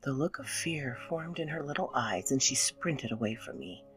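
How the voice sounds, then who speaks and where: A woman playing an anxious, guilt-ridden mother in a dramatic scripted horror narration, theatrical and dipping into breathy near-whispers. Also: a bass voice, animated, moderate pace, soft background music, poor audio. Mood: confessional, uneasy.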